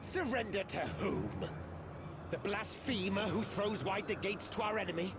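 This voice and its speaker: A man's voice: high-pitched, moderate pace, very noisy. A man playing a fanatical, hateful priest in a video game, declaiming with theatrical venom. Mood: defiant contempt. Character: fanatical zealot priest, male hostage-taker, defiant and hateful.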